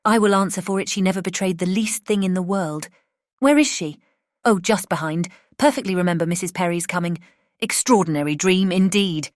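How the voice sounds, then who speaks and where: Actress as scatterbrained British spinster, middle-aged, effusive and rambling, fairly low female voice, dry recording, period novel drama. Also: fairly quick, no echo, lightly acted. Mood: chatty, flustered. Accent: British English. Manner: rambling, effusive, self-interrupting. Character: chatty, scatterbrained, good-natured middle-aged spinster aunt. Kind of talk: dramatized classic novel audiobook.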